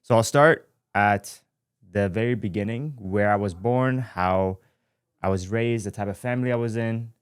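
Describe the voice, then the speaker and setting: A man in his twenties from Iran, telling his life story in a relaxed, reflective way as host of his own show, often pausing and correcting himself. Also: fairly low pitch, smooth, dry recording, clean studio sound. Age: 20s. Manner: reflective, with frequent fillers and self-corrections. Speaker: podcast host. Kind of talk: casual personal storytelling. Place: studio.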